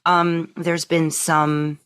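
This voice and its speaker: A woman's voice: low voice, flat delivery, extremely slow, fairly bright tone, smooth. A woman hosting a podcast, asking questions in a casual, conversational way with hesitant, rambling phrasing. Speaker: podcast host.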